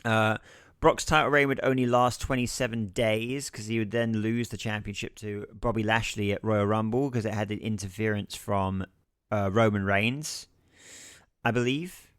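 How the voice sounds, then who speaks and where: A hoarse man co-hosting a wrestling podcast in casual chat, recounting history with some swearing. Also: fairly low pitch, fairly even intonation, normal speed, a bit bright, no echo, studio quality. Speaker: podcast co-host.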